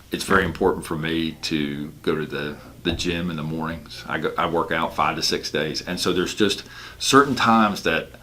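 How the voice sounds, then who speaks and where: A man's voice: low-pitched, pushed and bright, slight background noise. A man working as a real estate broker, explaining his work in a casual, emphatic, conversational way. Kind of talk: casual explanatory talk about own work.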